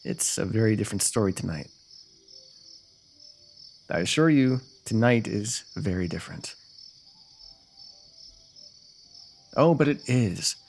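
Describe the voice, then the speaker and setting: A man's voice: fairly low pitch, animated, moderate pace, vocal fry, long pauses, darkish tone. A man playing a teasing, lovestruck royal ruler with courtly, formal phrasing in an ASMR fantasy roleplay. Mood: admiring, flirtatious.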